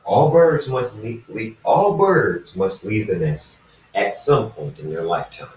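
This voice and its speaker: A man's voice: fairly low pitch, animated, measured pace, a little hiss or hum. A man voicing a brooding, secretive half-god assassin in an amateur fan fiction reading. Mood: resigned, wry. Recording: roomy echo, distant microphone.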